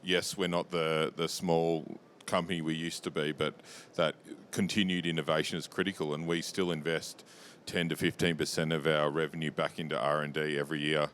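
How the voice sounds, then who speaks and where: Australian man, very deep voice, flat intonation, matter-of-fact, business interview guest, faint rumbling noise. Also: moderate pace, hardly any pauses. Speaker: company executive, interview guest. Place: interview lounge at a trade show. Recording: low rumbling background noise.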